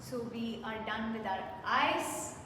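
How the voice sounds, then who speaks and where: Female workshop presenter, high voice, chatty and engaging with the crowd; distant mic, very roomy hall sound. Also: slow, sharp tone. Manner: engaging, asks the audience questions, leads exercises. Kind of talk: interactive self-care workshop. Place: large hall with an audience. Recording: echoey hall, mic far off, faint noise.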